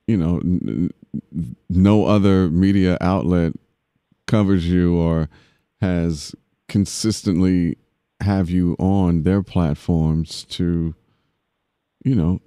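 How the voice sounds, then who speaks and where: A man's voice: deep voice, slow pace, dark tone, dry recording, clean studio sound. A man hosting a public affairs podcast, interviewing a guest in a casual, affirming way with frequent fillers. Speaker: podcast host.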